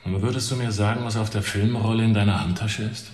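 Radio drama cop male actor: paternal, coaxing lead interrogator, lowish pitch, measured, in a roomy echo. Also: steady tone, darkish tone, faint music behind, close to an everyday voice. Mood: patronising. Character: patient, patronising male police detective leading an interrogation. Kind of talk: German radio drama acting. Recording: roomy, echoing interrogation scene.

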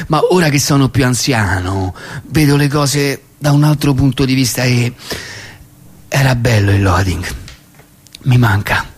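A man performing a comic stage monologue as a nostalgic, indignant old grandfather with a Roman accent, ranting in repetitions and halting pauses. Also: mid-range voice, slightly rough, voice pushed down. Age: older. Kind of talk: theatrical comic monologue reading.

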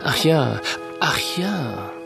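An actor playing a polite, learned secretary to a British gentleman in a radio play, deferential and precise. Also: on the high side, sing-song, very slow, gravelly, over music, exaggerated voice. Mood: keen. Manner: deferential, precise, pedantic vocabulary. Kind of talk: German radio play acting.

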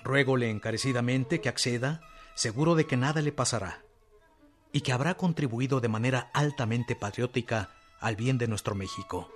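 An actor playing a courteous official who voices a formal letter in a radio drama, earnest and measured. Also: male voice, mid-range voice, lightly acted. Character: courteous, solemn official reading his formal letter aloud, adult man. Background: music.

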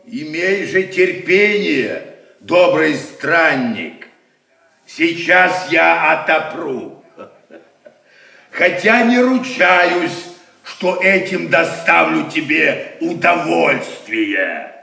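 An actor playing a grumpy, sanctimonious hermit monk with a gravelly, drawn-out voice in an audio drama, meek on the surface and menacing underneath. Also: male voice, fairly high pitch, very animated, pushed and bright, far from the mic, full character voice. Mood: grudging menace. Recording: echoey cell, off the mic.

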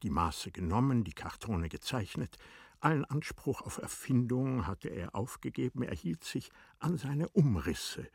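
A man reads literary narration for a radio play in a measured, formal way. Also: medium pitch, breathy edge, no echo, studio quality.

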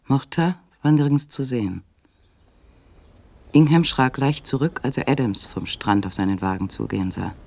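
A man narrating a literary suspense radio play in a measured, controlled manner. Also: mid-range voice, vocal fry, darkish tone. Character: third-person narrator of a literary suspense story. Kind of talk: scripted radio drama narration.

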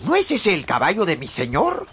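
Bright, animated village barber. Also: male voice, high-pitched, moderate pace, a little hiss or hum, heavily acted. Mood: concerned, firm. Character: village barber, plain-spoken, exasperated, concerned adult man. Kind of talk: Spanish-language radio drama acting.

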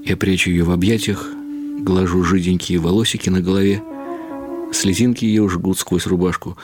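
Deep-voiced older man narrating, music behind. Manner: reflective, unhurried, expressive. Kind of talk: dramatic literary narration for radio.

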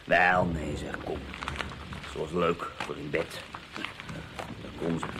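A man's voice: extremely low pitch, little pitch movement, takes it slowly, heavy background noise, exaggerated voice. A man playing a sarcastic, cynical husband in an audio drama, talking down to his wife with condescending endearments. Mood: scornful.

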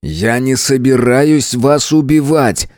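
A man reading aloud, voicing a calm, soothing male captor who speaks slowly and evenly. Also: medium pitch, no echo, studio quality, pitched above the performer's own voice, natural voice.

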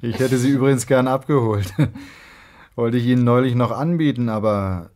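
An actor playing a pushy, scornful young husband in a radio drama, speaking in an impatient, sardonic, colloquial way. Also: male voice, medium pitch, normal speed, a little mellow, lightly acted. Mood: ingratiating. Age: young adult. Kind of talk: naturalistic German radio drama dialogue.

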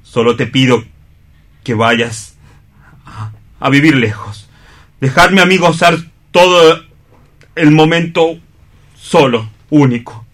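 A male actor plays an anguished man of wounded pride in a radio play. He speaks in solemn, deliberate phrases, declaiming his bitterness and self-pity with a noble air. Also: fairly high pitch, fairly bright tone. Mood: pained renunciation. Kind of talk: theatrical radio play reading.

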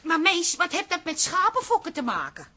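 An actress playing a weary, exasperated wife trying to keep the peace in a radio comedy. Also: female voice, very high-pitched, wildly swooping pitch, moderate pace, sharp tone, heavily acted. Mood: puzzled, annoyed.

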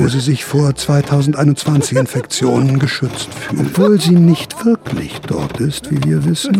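An actor playing a smugly certain, sardonic narrator with dry, measured irony in a German radio drama. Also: male voice, mid-range voice, gravelly, background noise.

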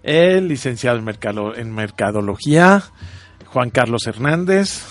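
A man's voice: medium pitch, expressive, unhurried, no echo. A man with a Mexican accent hosting a radio show, talking informally to listeners and addressing them as friends. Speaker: radio host.

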